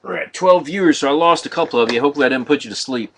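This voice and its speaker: A man hosting a live card break on a stream, talking viewers through each pull in a flat, monotone way. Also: fairly high pitch, rapid-fire, gravelly, slight background noise. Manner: monotone, says so himself; chatty, addresses viewers as guys. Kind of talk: casual live-stream commentary.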